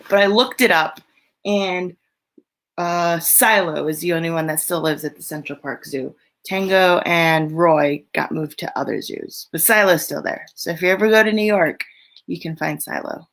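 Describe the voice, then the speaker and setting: A woman hosting a children's story time, reading aloud and chatting informally, often thinking out loud as she goes. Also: fairly low pitch, vocal fry. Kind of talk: reading a picture book aloud, then casual chat to children.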